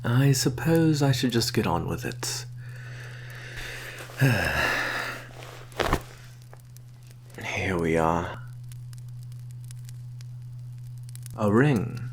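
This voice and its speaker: Slow, low-voiced sly vampire merchant, played by a man. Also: animated, a little hiss or hum. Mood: coy, reluctant. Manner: soft-spoken, coaxing, deliberate with sighs and soft chuckles. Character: sly male vampire posing as traveling merchant. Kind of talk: ASMR roleplay.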